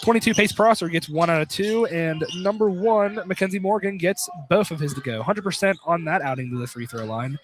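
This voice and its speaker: A man's voice: high-pitched, fairly quick, hardly any pauses, slight background noise, rough recording. A man doing casual, informal live play-by-play commentary of a basketball game for radio. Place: courtside at a college basketball game, noise behind.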